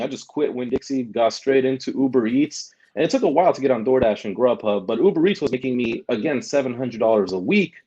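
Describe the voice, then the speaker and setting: Man, warm mellow voice, rambling casual chat, dull lo-fi call audio. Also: mid-range voice. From Germany. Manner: rambling, restarts, uses "like" and "right?". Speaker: guest, delivery driver and vlogger.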